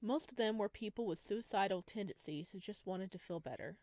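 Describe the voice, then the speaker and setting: A woman reads a visual novel aloud for a gaming video, slipping casually between the on-screen narration and her own playful remarks. Her voice sits at a middle pitch. The recording is close and very dry, with almost no room sound. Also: brisk, a little mellow, no echo. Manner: playful, giggly asides. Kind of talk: reading game text aloud with casual reactions.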